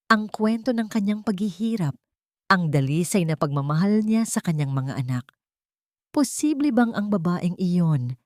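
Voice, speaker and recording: A woman's voice: fairly low pitch, a little mellow, no echo, studio quality. A woman reading dramatic scripted narration as an audiobook narrator.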